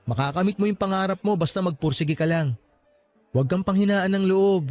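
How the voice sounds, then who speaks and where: A man's voice: high voice, fairly quick, a little mellow, faint music behind, lightly acted. A man playing a young fresh graduate, a supportive and teasing best friend, in an acted radio love story. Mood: encouraging.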